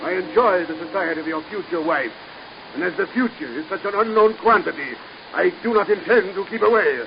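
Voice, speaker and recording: Middle-aged male villain doctor, suave and icily polite, gravelly creak, vintage radio drama with faint noise. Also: high voice. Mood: cold threat. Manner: formal, deliberate, icily polite. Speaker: actor. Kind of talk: old-time radio drama acting. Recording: muffled, hissy old radio recording.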